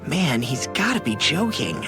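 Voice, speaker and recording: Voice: mid-range voice, wildly swooping pitch, unhurried, over music. An actor voicing a brash, easily flustered teenage boy fighter in a video game, blunt and exclaiming. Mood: grumbling. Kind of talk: video game cutscene acting.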